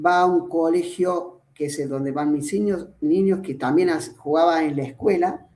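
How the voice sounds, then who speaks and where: Guest coach, Argentine (Córdoba) accent, middle-aged man, pitched a bit high, mellow; thinks aloud with lots of "eh"; roomy, echoey recording. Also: normal speed. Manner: explanatory, thinks aloud with many fillers and restarts. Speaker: guest, football coach and trainer. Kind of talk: podcast interview conversation. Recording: roomy echo.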